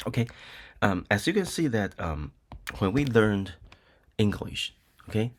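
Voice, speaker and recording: Brief: middle-aged Taiwanese male professor, low voice, unhurried, filler-heavy lecturing. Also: sing-song. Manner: hesitant, many ums and uhs, frequent "okay?" checks. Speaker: university lecturer. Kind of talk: recorded lecture with screen demo.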